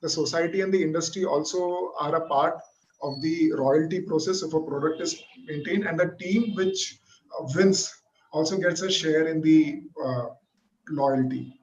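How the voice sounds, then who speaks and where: A man's voice: fairly high pitch, normal speed, a little mellow. A man from India answering panel questions hesitantly, with many filler sounds, in an online webinar. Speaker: hackathon organizer presenting. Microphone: computer mic on video call. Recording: muffled lo-fi webinar audio.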